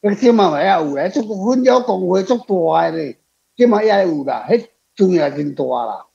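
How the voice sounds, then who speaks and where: High-pitched gravelly Taiwanese man. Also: expressive, moderate pace, mellow, muffled recording. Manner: repetitive, rambling. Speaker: panel guest, author responding. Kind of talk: casual explanatory chat.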